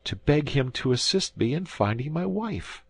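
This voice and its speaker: A man voicing a frightened, pleading middle-aged landlord in a shrill, high, frantic voice. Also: very animated, moderate pace, vocal fry, husky, no echo, exaggerated voice.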